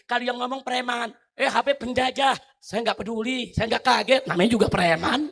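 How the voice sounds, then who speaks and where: A man from Indonesia delivers a heated sermon in a very high, bright and forceful voice. He keeps asking the crowd questions and addressing them directly. His delivery lurches from hushed, low lines to full shouting. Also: creaky. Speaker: preacher. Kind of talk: fiery religious sermon.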